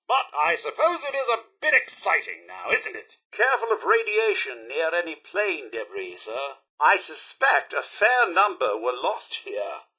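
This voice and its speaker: A man's voice: on the high side, pushed and bright, breathy edge, heavily acted. An actor playing a polite, fussy, wry male robot butler in a video game, with a British accent and a formal, theatrically prim manner. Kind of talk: video game character voice lines.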